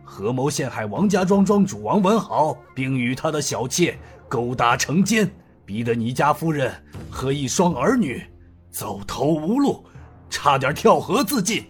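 A man's voice: medium pitch, very animated, a little slow, faint music behind. A man voicing a stern, scheming county magistrate with a commanding, sneering way of talking. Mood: scornful.

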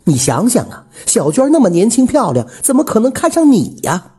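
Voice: medium pitch, huge pitch swings, moderate pace, warm tone, breathy edge, voice pushed up, heavily acted. A storyteller voicing a coquettish middle-aged village widow, sweetly coaxing and insistent. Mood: agitated, scheming.

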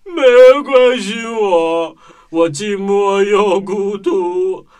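A man playing a big moody grizzly bear who grumbles slowly in a children's radio play. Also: high-pitched, background noise. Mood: gloomy, sulky. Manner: slow, grumbling, sighing. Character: gloomy, sulky, lonely big grizzly bear.

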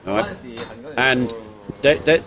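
A man's voice: medium pitch, lively intonation, slow pace. A British man co-hosting a casual chat podcast, teasing and insistent, often restarting his sentences. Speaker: podcast co-host.

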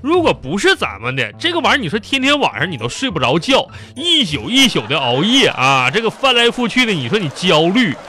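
High-pitched exasperated Northeastern Chinese husband. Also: male voice, huge pitch swings, fairly quick, few pauses, sharp tone, exaggerated voice. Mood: pleading, nagging. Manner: exclamatory, groaning, pleading. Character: long-suffering middle-aged husband, exasperated, whiny, nagging. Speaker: radio comedy host and performer. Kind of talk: live comedy radio drama dialogue.

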